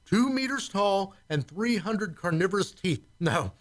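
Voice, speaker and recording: A man plays a starship's chief engineer for a sci-fi radio drama. His voice is notably high, and he grumbles with a sardonic, timid streak that suggests he would rather stay with his engines. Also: animated, a little slow. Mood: sardonic, wary. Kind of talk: science fiction radio play acting.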